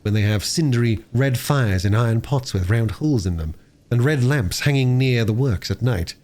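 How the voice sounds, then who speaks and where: Animated male storybook narrator. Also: mid-range voice, normal speed. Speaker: audiobook narrator. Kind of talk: expressive story reading.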